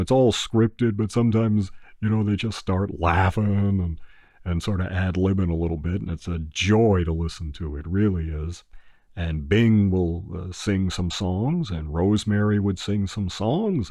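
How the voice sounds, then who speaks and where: An older man hosting a podcast, talking in a rambling, unhurried way with lots of "uh" fillers. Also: low-pitched, mellow, no room sound, clean studio sound. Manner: unhurried, many "uh" fillers, breaks into crooning an old song. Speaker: podcast host. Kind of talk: rambling casual chat.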